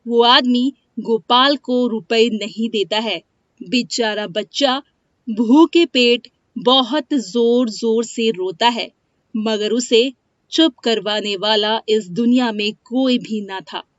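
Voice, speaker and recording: Woman narrator for moral tales, unhurried delivery, dry and slightly dull recording. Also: a little high, dry recording. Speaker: storyteller. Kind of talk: scripted moral-story narration. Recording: dry, dull lo-fi studio sound.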